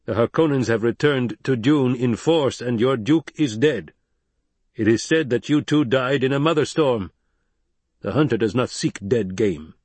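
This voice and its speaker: A man voicing a stern, proud leader of a desert tribe, grave and forceful. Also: mid-range voice, measured pace, no echo, close to an everyday voice.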